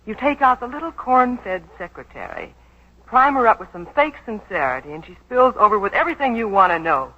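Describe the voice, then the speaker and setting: An actress playing a proud, suspicious young secretary with a crisp, cutting manner, in 1940s radio drama style. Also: female voice, medium pitch, very animated, fairly quick. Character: loyal, sharp-tongued young female secretary, suspicious and proud. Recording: dull 1940s radio broadcast recording.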